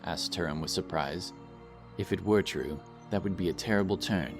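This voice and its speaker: A man's voice: low-pitched, moderate pace, music in the background. A man reading scripted fantasy fiction as a dramatic audiobook narrator.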